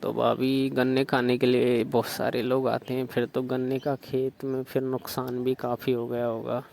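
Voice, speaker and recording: A man on a recorded phone call speaks in a gravelly, creaky voice at a mid pitch. He stays insistent and suggestive and keeps asking questions. His intonation is flat, with faint hiss on the line. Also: moderate pace. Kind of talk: staged flirtatious phone call.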